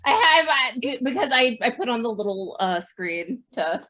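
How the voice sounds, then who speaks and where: A woman's voice: fairly high pitch, expressive, moderate pace, sharp tone. A woman chatting casually as a player on a tabletop game stream. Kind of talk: casual chat.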